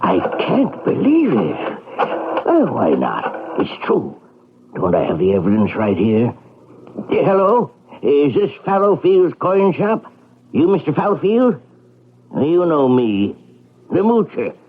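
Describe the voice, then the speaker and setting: A man's voice: fairly high pitch, huge pitch swings, takes it slowly, husky, exaggerated voice. An actor playing a grubby, greedy old beggar in a radio drama, whining, muttering and stammering as he goes. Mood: excited, cagey. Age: older. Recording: muffled vintage radio broadcast.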